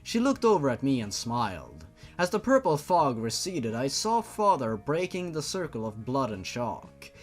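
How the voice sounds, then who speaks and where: A man's voice: mid-range voice, huge pitch swings, normal speed, soft background music. A man narrating fan fiction as a host, reading the script in a dramatic storytelling style.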